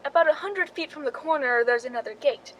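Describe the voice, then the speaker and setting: A woman's voice: high-pitched, a little fast, fairly bright tone, a little hiss or hum, low-quality recording. A woman playing a jealous, scheming stand-in who tells her murder plot in a suspenseful radio drama. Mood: tense, urgent. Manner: suspenseful, confessional storytelling. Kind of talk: radio drama narration and dialogue.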